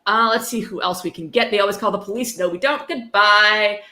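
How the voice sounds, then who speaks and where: A woman with a bright, forward voice hosts a casual livestream. She is sarcastic and swears freely. The room adds a noticeable echo. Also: medium pitch, brisk. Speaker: livestream host moderating chat. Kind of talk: casual livestream chat with dry banter. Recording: roomy echo.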